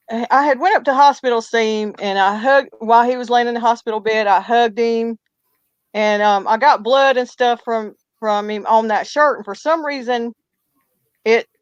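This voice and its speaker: A woman in her thirties, her voice pitched a bit high and smooth, wandering through a story with restarts. She sounds close and dry on a muffled, lo-fi recording. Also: no echo. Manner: rambling, talks through restarts and hesitations. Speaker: co-host telling ghost stories. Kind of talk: casual chat and personal storytelling. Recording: muffled lo-fi audio.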